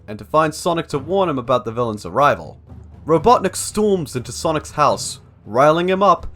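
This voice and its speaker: Young male video essayist, scripted explainer read, animated intonation, music underneath. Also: medium pitch. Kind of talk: scripted narration of a video essay analysing story structure.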